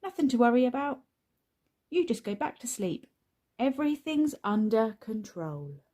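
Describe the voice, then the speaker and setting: A woman's voice: fairly high pitch, very animated, normal speed, warm tone, voice pushed up. A woman doing a small, eager boy. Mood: reassuring. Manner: eager, reassuring. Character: small reassuring little boy.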